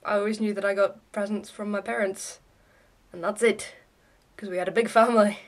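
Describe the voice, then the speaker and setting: A woman's voice: medium pitch, steady tone, a little fast. A young adult woman vlogging casually in her bedroom, with an irreverent, offhand, sweary way of talking. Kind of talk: casual chatty vlog to camera. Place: small bedroom, indoors.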